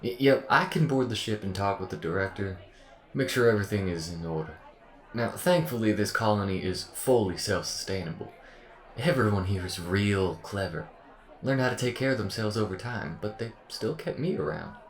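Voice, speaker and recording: A man plays a sweet, folksy robot AI in an audio drama, with a Southern US drawl. The voice is fairly low but bounces around in an animated, friendly way. It sounds roomy and echoing. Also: normal speed. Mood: folksy, upbeat. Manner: chirpy, friendly, chuckling, folksy. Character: cheery, folksy, sweet-talking robot AI helper. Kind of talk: scripted audio roleplay acting.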